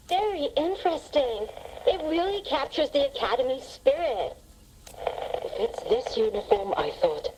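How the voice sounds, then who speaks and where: A radio talk-show speaker in a video game. Her voice is high and very expressive in pitch. It comes through a radio filter with some background noise. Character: women chatting on an academy radio talk show. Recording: noisy radio broadcast.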